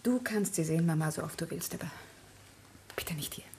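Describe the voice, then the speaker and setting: A woman's voice: on the low side, brisk, warm tone, lightly acted. An actress playing a resolute, reproachful grown daughter and protective mother in a radio drama, firm and emotional. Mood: hurt, resolute. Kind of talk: German radio drama acting.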